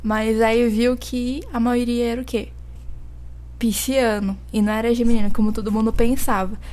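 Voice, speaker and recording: A woman's voice: a little high, flat delivery, moderate pace, a little mellow. A young woman co-hosting a true-crime podcast, rambling with fillers and posing dramatic questions. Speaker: podcast co-host. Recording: faint music behind.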